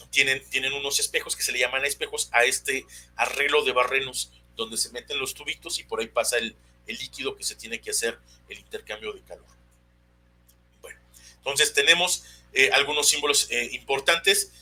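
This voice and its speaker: A man's voice: mid-range voice, bright, forceful tone. A man with a Mexican accent explaining technical material as a webinar instructor, unscripted, hesitant and often searching for words. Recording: noisy webinar audio with hum.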